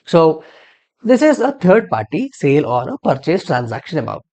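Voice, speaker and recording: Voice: medium pitch, sing-song, moderate pace, darkish tone. An instructor narrating a tutorial in an explanatory, step-by-step manner.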